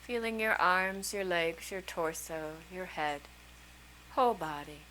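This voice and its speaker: A woman leading a guided meditation as a teacher, speaking soothingly in short, unhurried phrases with long pauses between them. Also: fairly low pitch, pushed and bright, a little hiss or hum, rough recording. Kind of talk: guided meditation, slow calming instruction.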